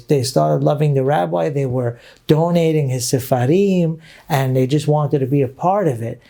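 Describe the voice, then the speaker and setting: A middle-aged rabbi from Brooklyn, telling personal stories as a guest in earnest, reminiscing talk sprinkled with Hebrew phrases. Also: male voice, fairly high pitch, warm tone. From Brooklyn, New York. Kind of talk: storytelling interview talk.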